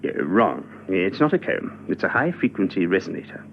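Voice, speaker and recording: A precise, officious intelligence man, pedantic and humorless, with clipped words and lively intonation. It is a radio play on a dull, slightly noisy old recording. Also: low-pitched, normal speed. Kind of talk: radio drama acting.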